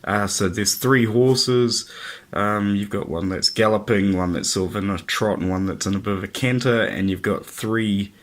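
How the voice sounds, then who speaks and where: A man's voice: fairly low pitch, normal speed. New man with a head cold. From New Zealand. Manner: chatty and easygoing, many ums and uhs. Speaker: miniatures hobby reviewer. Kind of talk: casual product review.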